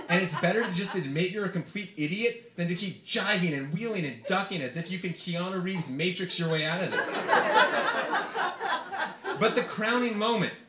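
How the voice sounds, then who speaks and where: In an echoey, noisy bar, a man reads a comic essay to a live audience. His voice sits a little high, and he delivers it theatrically, like a gonzo storyteller. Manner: theatrical comic delivery, swearing, mimicking quoted speakers. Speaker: author reading own essay aloud. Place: live reading event in a bar, before an audience. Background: audience laughter, room noise.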